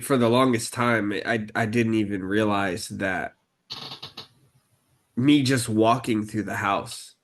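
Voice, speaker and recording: A man co-hosting a podcast, talking slowly and deliberately in a casual chat, with frequent fillers and restarts. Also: on the low side. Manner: halting, many fillers and restarts, deliberately slowed speech. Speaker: podcast co-host. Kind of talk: casual conversational podcast talk.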